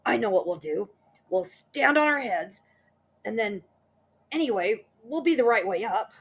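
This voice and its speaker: A woman reading a storybook aloud, voicing a grumpy, nasty old man who yells and blusters. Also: a little high, lively intonation, measured pace, husky, pitched above the performer's own voice, full character voice. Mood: blustering alarm. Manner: blustering, yelling.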